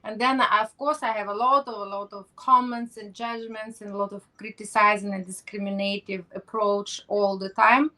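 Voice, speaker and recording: A middle-aged woman hosts a conversational interview in non-native English. She often stops to search for words and restarts her phrases. Her voice is bright and sharp. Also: mid-range voice, steady tone, normal speed, few pauses, rough recording. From not from Norway. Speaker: podcast host.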